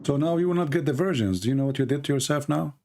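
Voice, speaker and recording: Gravelly mellow man hosting a live call-in. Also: fairly high pitch, very fast, slight background noise. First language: not English. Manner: teasing, provocative questioning. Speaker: live stream host taking calls. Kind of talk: unscripted live call-in debate.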